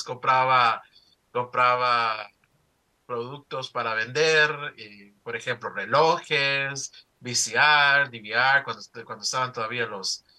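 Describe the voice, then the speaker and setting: A man's voice: medium pitch, bright, forceful tone. A middle-aged man from El Salvador with a Salvadoran accent, telling his story in an interview with many fillers and restarts. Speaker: newspaper founder and CEO.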